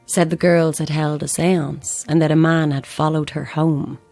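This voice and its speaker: A bereaved mother in a naturalistic audio drama, blunt and insistent in her belief in ghosts. Her voice is on the low side, with music behind her. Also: little pitch movement, unhurried, vocal fry, darkish tone, no echo. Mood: grave, haunted.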